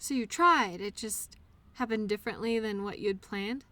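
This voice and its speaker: A woman playing an innocent, childlike imaginary friend in an intimate audio drama, speaking earnestly and eagerly with wistful, hesitant pauses. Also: medium pitch, bright, forceful tone, natural voice. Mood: gentle, questioning. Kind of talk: intimate scripted audio roleplay monologue addressed to listener.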